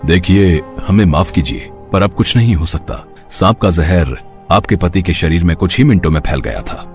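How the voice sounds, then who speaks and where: A man's voice: deep voice, lively intonation, moderate pace, darkish tone, soft background music. A man voicing plain, earnest village characters in a dramatized moral story. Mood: grave. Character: village men: mild husband, messenger, doctor.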